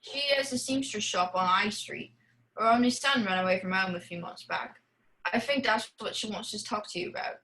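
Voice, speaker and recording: A woman playing a brisk, bossy, rather sour female innkeeper in a radio play. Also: medium pitch, pushed and bright, poor audio. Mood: matter-of-fact. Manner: bossy, no-nonsense.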